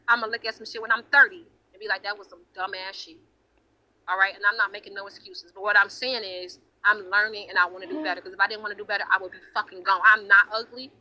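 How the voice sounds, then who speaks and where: A woman's voice: medium pitch, sharp tone, rough recording. A woman in her late twenties talking heatedly and casually on a livestream, emphatic, defensive and swearing freely. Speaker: livestream host.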